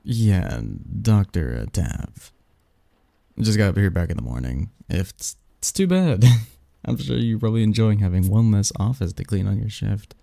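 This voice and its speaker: A man's voice: lowish voice, big pitch swings, creaky, no room sound, exaggerated voice. A man acting a snarky, cynical young research assistant in a scripted ASMR roleplay, with a wry, pedantic manner. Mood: casual, wry. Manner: wry, pedantic, halting mumbles and soft chuckles.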